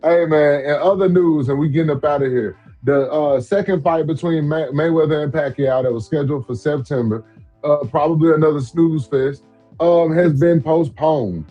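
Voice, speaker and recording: A man's voice: a little high, normal speed, muffled recording, soft background music. A man in his forties co-hosting a podcast, talking loosely and emphatically in slang with plenty of repeats. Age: 40s. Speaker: podcast co-host. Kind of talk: casual sports chat.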